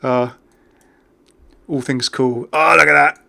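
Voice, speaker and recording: A man's voice: mid-range voice, fairly even intonation, slow pace, creaky, bright, forceful tone. A British man hosting a gaming video with chatty, casual, off-the-cuff commentary. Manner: chatty, easily amused.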